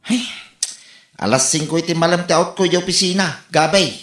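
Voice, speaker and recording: A man's voice: on the high side, brisk, fairly bright tone, close to an everyday voice. An actor playing an exasperated working man pestered by neighbours in an Ilocano radio comedy, grumbling and exclaiming with an Ilocano accent. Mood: put-upon. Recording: roomy echo.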